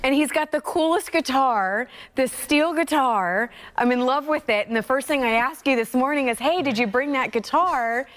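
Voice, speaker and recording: A woman's voice: sits high, normal speed, hardly any pauses, fairly bright tone. A woman co-hosting a morning TV show, gushing and enthusiastic as she chats with a guest. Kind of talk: upbeat TV chat. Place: TV studio.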